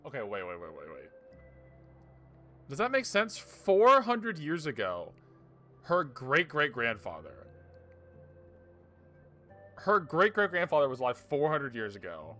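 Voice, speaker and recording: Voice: mid-range voice, wildly swooping pitch, unhurried, long pauses, bright, forceful tone. A streamer giving casual, chatty, reactive commentary while playing a game. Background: game music.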